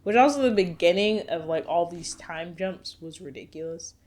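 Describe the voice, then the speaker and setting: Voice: mid-range voice, normal speed, darkish tone. A podcast co-host giving wry, dismissive commentary in a casual chat. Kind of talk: casual chat about games and movies.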